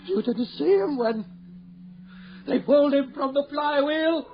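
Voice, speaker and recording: An actor in a radio drama playing an older, anguished father, urgent, halting and full of fear. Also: extremely high pitch, expressive, exaggerated voice. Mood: panicked dread. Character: frightened, anguished father dreading his son's return. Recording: muffled, noisy 1980 radio drama recording.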